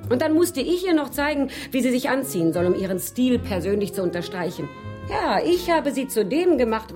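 A woman's voice: medium pitch, lightly acted. An actress playing a worldly, self-assured woman who teases and probes, in a German radio crime drama. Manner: teasing, self-assured, probing. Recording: faint music under the voice.

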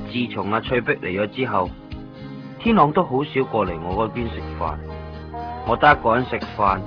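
A man's voice: medium pitch, close to an everyday voice. A man with a Hong Kong accent playing a fretful, clingy, dramatic friend in a radio drama, chatty in manner. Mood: lonely, confessing. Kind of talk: Cantonese radio drama acting. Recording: muffled 1990s radio recording, faint music. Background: faint music.

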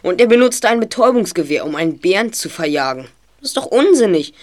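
A woman's voice: medium pitch, sing-song, quick pace, natural voice. A woman playing an earnest, analytical teenage boy detective in an audio drama, precise and inquisitive. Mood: serious, puzzled.

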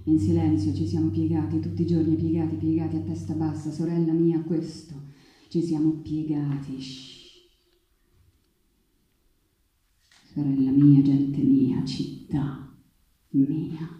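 A woman's voice: sits low, flat delivery, mellow, pitched below the performer's own voice, natural voice. A woman playing a defiant, anguished Antigone in an incantatory, emphatic theatrical monologue. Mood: hushed, mournful. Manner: incantatory, slow, emphatic, with repeated phrases. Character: defiant, anguished young Antigone rebelling against power. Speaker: stage actress and playwright. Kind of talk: poetic theatrical monologue over live guitar. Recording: very echoey, reverberant live performance with guitar.

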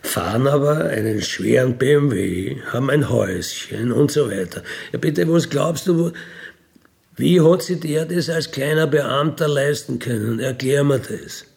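Slow, gravelly Austrian man. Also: mid-range voice, lively intonation, darkish tone. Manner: matter-of-fact, addresses listener with tag questions. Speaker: ex-convict burglar telling his life. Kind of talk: candid conversational monologue.

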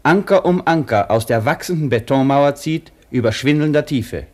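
An actor as a radio play announcer, narrating scripted documentary-like text in a formal, declarative way. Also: male voice, a little high, moderate pace, darkish tone, lightly acted.

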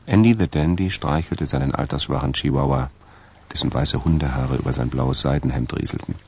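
A man narrating a crime radio play in a measured, wry, literary way. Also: a bass voice, darkish tone, slight background noise. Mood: atmospheric. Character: dry male narrator of a crime story.

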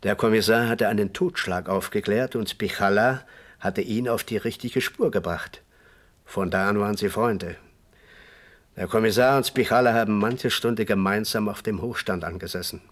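A man's voice: lowish voice, moderate pace, close to an everyday voice. An actor playing a good-natured, talkative man reminiscing in conversation in a radio crime drama. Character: chatty, good-natured male host reminiscing about an old regular.

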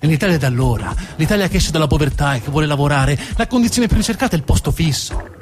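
A man's voice: a little high, lively intonation, fast talker, music in the background. A man performing a narrative theatre monologue, emphatic and theatrical, repeating words for effect. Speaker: storytelling stage actor.

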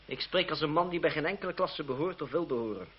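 An actor playing a cynical, witty young French lawyer in a radio drama, with an ironic, condescending, urbane manner. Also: male voice, a little high, fast talker, slightly rough. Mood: dry irony. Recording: muffled, hissy old radio drama recording.

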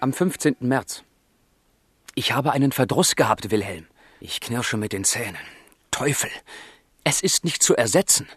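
A man's voice: mid-range voice, lively intonation, normal speed, pauses often, husky, dry recording, soft background music. An actor playing a passionate, melancholy young man in love, speaking earnest literary German radio drama lines. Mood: bitter, agitated. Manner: earnest, literary, brooding, emotional.

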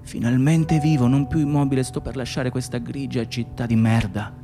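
A persistent, wistful wanderer played by a male actor in a radio drama. He is insistent and theatrical, circling back on his own phrases in a mid-pitched voice, with music in the recording. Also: fairly even intonation, normal speed, mellow, close to an everyday voice. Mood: fervent, bitter. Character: persistent, wistful male stranger musing on travel and home. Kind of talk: Italian radio play acting.

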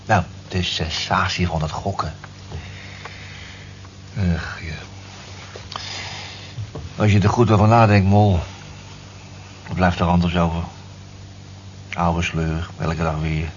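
A middle-aged working-class husband in a naturalistic radio drama, weary but affectionate. His voice is low and he muses colloquially about life. The old recording is muffled, with noise and hum. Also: fairly even intonation, takes it slowly, pauses often. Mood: defensive, resigned. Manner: colloquial, musing. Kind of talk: naturalistic radio play dialogue.

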